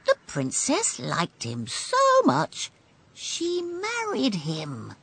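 A woman voicing a talkative male crow with a croaky voice, in a storybook reading. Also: fairly low pitch, wildly swooping pitch, drawn-out words, noisy background, full character voice. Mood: confiding.

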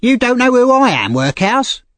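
A big, bullying boy, voiced by a man, raised high above an adult register. He is rude, bossy and full of himself, swinging in pitch like a storybook tormentor. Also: big pitch swings, normal speed, no room sound. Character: bullying, self-important large teenage boy. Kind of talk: audiobook character voice.

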